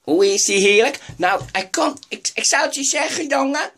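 Brief: woman voicing a forgetful old lady fronting a microwave cooking show, slightly high voice, rambling and sighing to herself. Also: expressive, moderate pace, a bit bright. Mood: doddering. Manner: rambling, forgetful, full of sighed "oh gut"s. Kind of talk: comedic improvised sketch.

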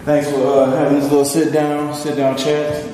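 A man hosting a casual interview, asking relaxed questions with short prompts and fillers. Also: on the high side, moderate pace. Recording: echoey room with faint background hiss.

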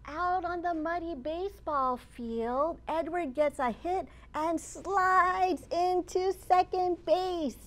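High-pitched woman reading slowly to children. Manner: expressive, deliberate, with dramatic emphasis and exclamations. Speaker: children's librarian, storytime host. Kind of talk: animated read-aloud of a picture book for young children. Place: open library children's area, indoors.

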